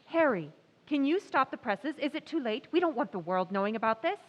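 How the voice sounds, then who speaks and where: An actress playing a sharp, scheming, middle-aged wife of a newspaper editor in an old-time radio drama. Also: female voice, high voice, animated, in a large hall. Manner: brisk, scheming, disdainful. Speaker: actor in radio play.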